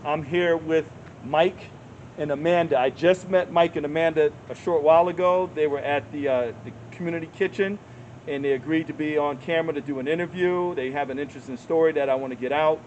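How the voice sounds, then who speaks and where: A man's voice: on the high side, brisk, a little mellow, highs cut off, slight background noise. A man hosting a street interview in a park, who repeats answers back and encourages his guests. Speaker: interviewer and channel host. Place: outdoors in a public park.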